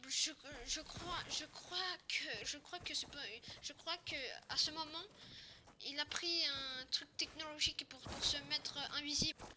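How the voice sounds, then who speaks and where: A young woman playing a forceful, confused half-zombie survivor in an improvised game roleplay, speaking informally and haltingly with repetitions. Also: high-pitched, steady tone, heavily acted. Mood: puzzling, scheming. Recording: noisy home recording with clicks.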